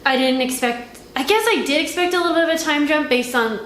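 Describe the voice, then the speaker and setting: A woman's voice: high-pitched, fast, fairly bright tone. Chatty woman in a roomy echo. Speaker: host of a movie review. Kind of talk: casual conversational film review. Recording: roomy echo with faint background noise.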